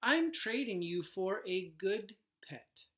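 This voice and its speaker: Man reading as a slow-talking, grumbling storybook boy. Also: sits high, animated, pushed and bright, exaggerated voice. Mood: grumbling, resolved. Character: young boy narrator, grumbling yet soft-hearted pet owner.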